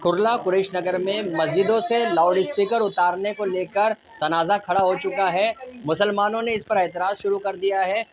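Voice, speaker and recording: A woman's voice: mid-range voice, fairly even intonation, moderate pace, highs cut off, a crowd in the background. A woman reporting news on location in formal, wordy, repetitive phrasing. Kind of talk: news reporting, interviewing locals. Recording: noisy on-location news audio.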